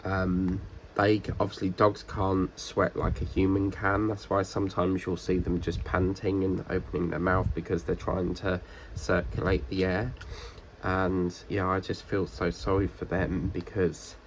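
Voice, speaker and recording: A man with a fairly low, gravelly voice talks in a rambling, casual way, full of fillers and restarts. His voice is croaky and stuffed up from a cold. A faint hiss sits behind him. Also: flat delivery, a little slow, low-quality recording. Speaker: diamond painting vlogger. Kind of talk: casual chat while crafting.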